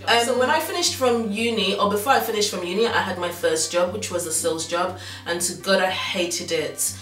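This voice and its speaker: A woman in her thirties with a slight Nigerian Yoruba accent chats away to her viewers, rambling with plenty of ums and restarts. Her voice sits at a middle pitch and sounds roomy, picked up from a distance, with faint music underneath. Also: brisk, fairly bright tone. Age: 30s. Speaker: fashion blogger. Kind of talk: casual chat to camera.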